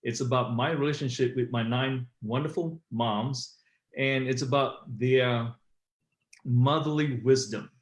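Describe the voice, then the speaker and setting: A man's voice: medium pitch, steady tone, a little slow. A middle-aged man, telling his personal story conversationally as an interview guest. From Malaysia. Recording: roomy, echoey online call.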